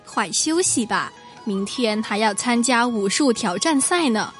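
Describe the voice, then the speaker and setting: Woman as a caring, high-voiced mother. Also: lively intonation, measured pace, soft background music. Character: caring mother of a schoolgirl, gentle, nagging. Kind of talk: radio drama acting.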